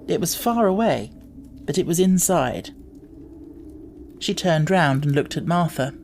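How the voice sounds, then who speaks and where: A man's voice: on the high side, animated, a little slow, a little hiss or hum. A man reading a children's novel aloud as scripted audiobook narration.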